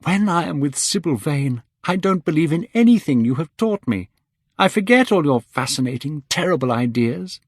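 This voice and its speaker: A man's voice: fairly high pitch, lively intonation, moderate pace, dry recording, studio quality, voice pushed up. A man voicing a giddy lovestruck young man.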